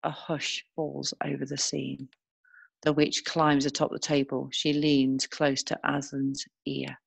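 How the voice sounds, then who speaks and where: A woman narrating a screenplay, reading scene headings and stage directions in a measured way. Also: extremely low pitch, flat delivery, creaky, slightly rough, no room sound.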